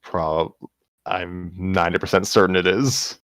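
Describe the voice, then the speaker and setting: A man's voice: low-pitched, steady tone, unhurried, a bit bright, no echo, clean studio sound. A man co-reading on a storytime show, chatting casually. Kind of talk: casual chat.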